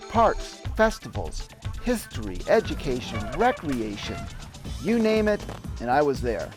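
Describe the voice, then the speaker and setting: Expressive middle-aged man narrating a memoir. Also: medium pitch, unhurried. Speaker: narrator and videographer. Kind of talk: dramatic reading of a personal memoir. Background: music bed.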